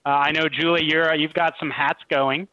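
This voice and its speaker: A man's voice: on the high side, flat delivery, brisk, sharp tone. A man casually hosting a live panel over a muffled video call from an indoor room. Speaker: host. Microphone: computer mic on video call.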